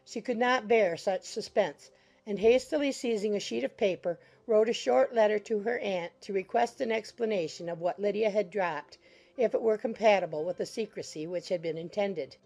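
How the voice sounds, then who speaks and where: A woman's voice: mid-range voice, moderate pace, darkish tone, soft background music. A woman narrating a classic novel as scripted literary audiobook narration.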